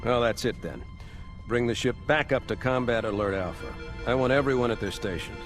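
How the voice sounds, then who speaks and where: An actor playing a calm, resolute older warship captain in a video game, measured and authoritative. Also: male voice, medium pitch, music in the background, lightly acted.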